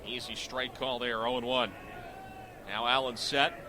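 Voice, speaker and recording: A middle-aged man calling baseball live on the radio as play-by-play announcer, in clipped descriptive phrases that rise into excited calls. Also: medium pitch, measured pace, noisy background. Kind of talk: live radio sports commentary.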